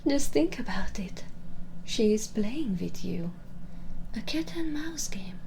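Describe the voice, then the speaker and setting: Woman acting slow, whispering, cruel vampire lady. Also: medium pitch, expressive, darkish tone, slight background noise. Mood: menacing taunts. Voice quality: breathy, half-whispered. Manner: slow, menacing, mocking, addressing the listener directly. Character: cruel, vengeful immortal female vampire, sneering and taunting. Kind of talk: whispered ASMR audio roleplay. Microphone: close binaural microphone.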